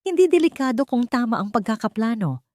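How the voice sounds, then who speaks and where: Scheming rich matriarch, woman narrator acting, sinister, gloating, animated pitch, audio-story villain. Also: a little fast, no echo, clean studio sound. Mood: sinister, confident. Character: scheming wealthy villainous mother, smug and sinister.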